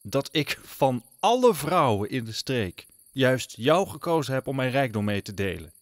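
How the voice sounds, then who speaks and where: A man's voice: medium pitch, big pitch swings, moderate pace, dry recording, clean studio sound, close to an everyday voice. A man playing a pompous, easily offended rich suitor in an audio drama, haughty and stammering when affronted.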